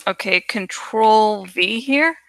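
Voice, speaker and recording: A woman rambles casually as she works, thinking out loud with plenty of swearing. Her voice is bright and smooth, recorded close and dry. She sometimes stalls to hunt for the right word. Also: medium pitch, slow, no echo. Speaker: online creator and host. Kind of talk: casual rambling chat while working on a graphic.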